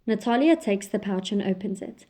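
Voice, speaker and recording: A woman reading the scripted narration for an audio drama, with a mellow, darker voice. She keeps an even, descriptive pace through the scene-setting. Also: mid-range voice, moderate pace.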